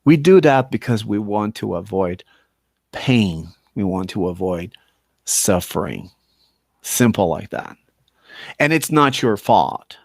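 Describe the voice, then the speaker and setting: Low, creaky-voiced Brazilian man teaching. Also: animated, slow, pauses often, a little mellow, no echo, studio quality. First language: Portuguese. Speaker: English teacher. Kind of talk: informal talk to language learners.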